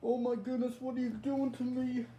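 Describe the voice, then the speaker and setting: A man voicing a panicked cartoon sea creature in a fan-made horror parody, crying out in frantic high-pitched screams. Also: level tone, moderate pace, warm tone, reverberant, dull audio, a little hiss or hum. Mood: terrified. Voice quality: shrill cries.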